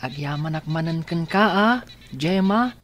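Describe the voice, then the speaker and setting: A woman's voice: low-pitched, expressive, unhurried, slight background noise. A woman with an Ilocano accent playing a wistful, grateful blind schoolboy in a radio drama.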